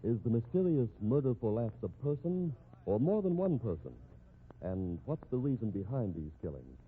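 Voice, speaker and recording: Male 1950s radio announcer, scripted and animated, mid-pitched, band-limited vintage sound. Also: normal speed, narrow, tinny audio, a little hiss or hum.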